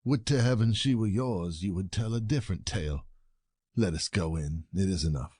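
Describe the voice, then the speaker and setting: An actor playing a jealous, gloating peasant husband in a classic comedy audio play, sardonic and declamatory. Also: male voice, sits low, moderate pace, darkish tone, husky, no room sound, clean studio sound. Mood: bitter muttering.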